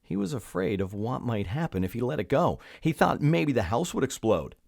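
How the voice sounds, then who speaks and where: A man's voice: mid-range voice, big pitch swings, normal speed, gravelly, darkish tone, dry recording, studio quality. A man reading a children's story aloud, expressively and with theatrical emphasis. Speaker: storybook reader.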